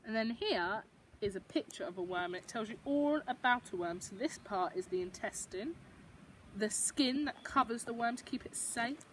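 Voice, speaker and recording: A woman's voice: on the high side, lively intonation, normal speed, recorded from a distance, a little hiss or hum, low-quality recording. A woman reading a picture book to young children, expressively, with explanatory asides and questions for the listeners. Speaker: storybook reader for young children.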